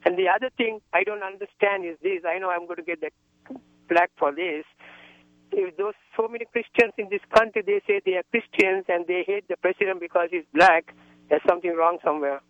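Man, from sri lanka, radio caller, high voice, emphatic, repetitive, tinny phone line. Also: steady tone, brisk, no room sound. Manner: emphatic, repetitive, stumbling starts. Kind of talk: call-in radio conversation.

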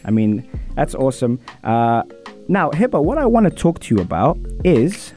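A man hosting a radio sports show, his voice hoarse, with an upbeat, hyped-up presenting manner. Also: mid-range voice, big pitch swings, normal speed, warm tone, soft background music.

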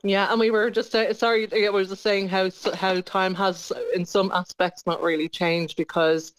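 A woman chats as a guest in a radio interview. Her voice is clean and smooth, at a medium female pitch. She talks informally and often rewords what she is saying. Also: little pitch movement, moderate pace. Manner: informal, chatty, self-correcting. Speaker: interview guest, playwright and producer.

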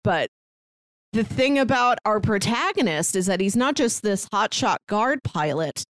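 A woman's voice: medium pitch, expressive, a little slow, a bit bright, no room sound, studio quality. A woman co-hosting a podcast in a casual discussion, articulate and given to acting out quotes to make her points. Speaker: podcast co-host. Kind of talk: casual book discussion chat.

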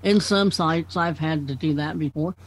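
A man with a high-pitched voice speaks conversationally. He gives practical advice as a participant in a group chat. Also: moderate pace. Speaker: club participant. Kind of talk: casual advice.

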